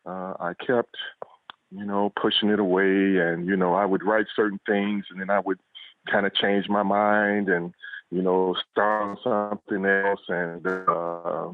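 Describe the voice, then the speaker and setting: A man's voice: low voice, level tone, unhurried, no room sound, faint music behind. A middle-aged man answering interview questions conversationally, telling his story in a reflective, somewhat hesitant way over a phone. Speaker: interview guest, police officer and author. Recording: tinny narrow phone line.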